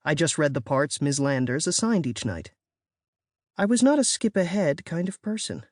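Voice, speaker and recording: A narrator reading a children's novel in an expressive, first-person storytelling way. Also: mid-range voice, moderate pace, no echo, studio quality. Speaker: audiobook narrator. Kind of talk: scripted audiobook narration.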